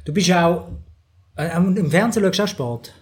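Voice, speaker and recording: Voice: mid-range voice, expressive, normal speed, darkish tone. An interviewer with a mid-range voice, leading a casual chat. The questions come loose and playful, with light teasing thrown in.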